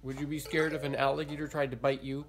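Timid middle-aged man with creaky voice. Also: mid-range voice, flat delivery, quick pace, a loud crowd. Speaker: puppet show sidekick and musician. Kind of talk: live children's puppet show dialogue. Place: small indoor puppet theater. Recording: noisy indoor recording.

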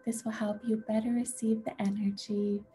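A woman leading a guided meditation in a gentle, soothing, unhurried way, with a soft, breathy voice that often nears a whisper. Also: medium pitch, flat delivery. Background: music.

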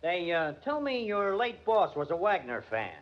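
A man's voice: high voice, sing-song, normal speed. An actor playing a sarcastic, hard-boiled American police detective who needles in tough-guy slang, in a 1940s radio drama. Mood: needling. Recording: dull, band-limited old radio recording.

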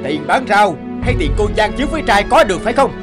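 A man's voice: sits high, big pitch swings, fast, over music. A man voicing a brutish, jealous husband in a cartoon folktale, blustering and scolding as he threatens. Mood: angry suspicion. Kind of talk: animated folktale dubbing.

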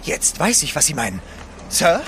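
An actor playing an excitable, kindly French manservant in a radio drama. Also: male voice, fairly high pitch, normal speed, background noise. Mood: startled, concerned.

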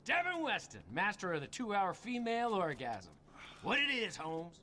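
A man's voice: sits high, wildly swooping pitch, moderate pace, pushed and bright, exaggerated voice. An American man playing a glib, boastful, patronising middle-aged tycoon in a video game cutscene. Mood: boastful glibness. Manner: glib, self-satisfied. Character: smug, slick male tycoon, boastful and patronising.

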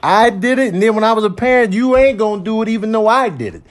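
High-pitched man doing casual comic commentary. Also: lively intonation, fast talker. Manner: conversational, slangy, storytelling with mock indignation.

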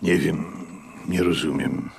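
Very deep, slow old innkeeper. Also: male voice, gravelly, breathy edge, exaggerated voice. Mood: quietly resolute. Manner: solemn, measured. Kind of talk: Polish radio drama narration and monologue.

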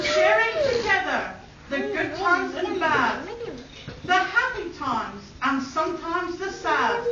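A woman's voice: high-pitched, noisy background. A woman, reading a rhyming wedding speech from a sheet and chuckling often as she goes. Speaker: guest giving a wedding speech. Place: indoor party room at a wedding celebration. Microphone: camcorder from across the room. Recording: distant echoey dull camcorder audio with room chatter.

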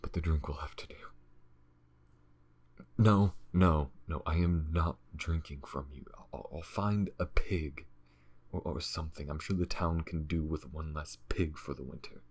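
A man playing a weary, shaken vampire in an ASMR audio roleplay, confiding in halting, haunted phrases with a breathy, husky whisper. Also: a bass voice, darkish tone, full character voice. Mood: halting, protective. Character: weary, shaken, starving male vampire survivor.

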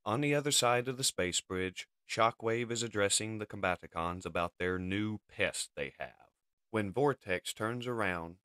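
A man's voice: lowish voice, moderate pace, a bit bright, dry recording, studio quality. A man narrating a comic book as a dramatic scripted reading. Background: music.

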